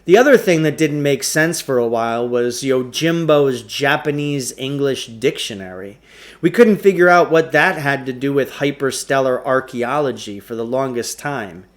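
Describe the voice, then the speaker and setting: A man's voice: mid-range voice, moderate pace. A man reading a children's novel aloud as a storybook narrator.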